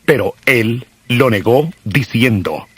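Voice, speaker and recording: A man narrating scripture from a script in a solemn, measured manner. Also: medium pitch, expressive, fairly bright tone, breathy edge, no room sound. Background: music.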